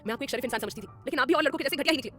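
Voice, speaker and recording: A proud young woman, stung and furious, lashing out in a high, quick voice, as a woman narrator plays her. Her words come fast and cutting. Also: faint music behind. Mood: indignant. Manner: indignant, sharp and loud. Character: young woman, proud and offended, sharp-tongued.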